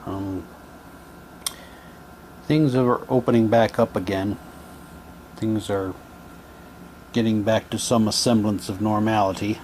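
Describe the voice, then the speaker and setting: Male, low register, very unhurried; casual unboxing host with a wry, halting delivery. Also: steady tone, darkish tone, a little hiss or hum. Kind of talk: casual unboxing commentary, reading captions aloud.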